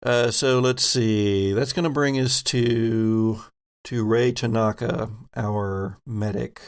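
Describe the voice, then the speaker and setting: A man running a tabletop game as game master, improvising narration in a deliberate, descriptive way. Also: lowish voice, steady tone, clean tone.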